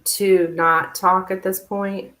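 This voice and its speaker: A woman hosting a casual live tarot reading, speaking informally and haltingly as if thinking aloud. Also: mid-range voice, level tone, fairly bright tone. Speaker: tarot reader, live stream host. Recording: roomy, echoing live stream sound.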